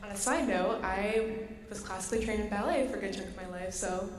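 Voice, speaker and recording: Young female musician, casual panel talk, mid pitch, long room reverb. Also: brisk. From Michigan, USA. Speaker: clarinetist on a panel. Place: large concert hall stage. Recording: echoey concert hall, faint noise.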